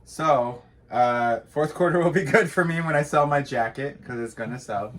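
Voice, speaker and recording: A man's voice: mid-range voice, normal speed, a crowd in the background. A man co-hosting a casual reselling chat, teasing and joking through his banter. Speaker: co-host of a reselling channel.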